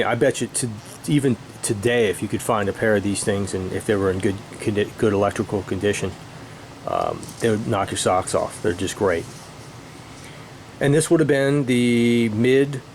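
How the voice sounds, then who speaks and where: A middle-aged man reminiscing casually as an enthusiast, speaking in halting short bursts. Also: fairly low pitch, low-quality recording. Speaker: audio hobbyist presenting own video. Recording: steady room noise, air conditioning hum.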